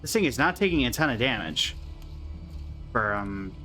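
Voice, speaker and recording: A man's voice: medium pitch, big pitch swings, normal speed, sharp tone. Man casually muttering over game music. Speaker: gaming streamer reacting to gameplay. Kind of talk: casual live gameplay commentary.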